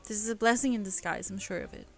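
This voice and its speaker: Woman reading as a caring friend, calm and soothing, soft faint music behind. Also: mid-range voice, fast talker, creaky. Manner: soothing, then indignant. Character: protective, caring young friend.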